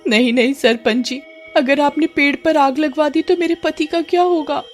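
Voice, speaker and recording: A woman voicing a worried village wife, urgent and imploring, in an animated story. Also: high-pitched, moderate pace, soft background music, pitched above the performer's own voice, natural voice. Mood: pleading. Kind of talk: Hindi animated story acting.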